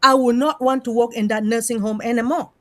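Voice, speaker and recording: A woman's voice: on the high side, fairly even intonation, fairly quick, a bit bright. A middle-aged woman with an African accent, speaking emphatically in an informal discussion and putting rhetorical questions. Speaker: panel member in an open discussion.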